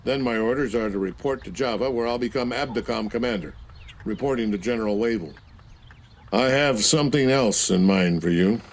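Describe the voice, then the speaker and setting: An actor voicing a senior naval admiral who gives orders in a calm, authoritative way. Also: male voice, mid-range voice, fairly quick, close to an everyday voice. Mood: commanding. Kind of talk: scripted game cutscene.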